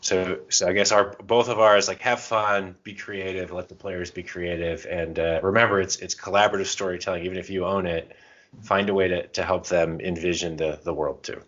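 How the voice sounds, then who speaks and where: A man co-hosting a podcast, telling gaming anecdotes in a casual, animated, self-mocking way with some loose swearing. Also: deep voice, a little fast, muffled recording. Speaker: podcast co-host.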